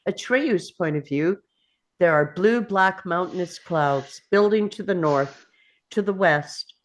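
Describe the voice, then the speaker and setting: A woman narrating scripted stage directions for a screenplay read. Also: fairly low pitch.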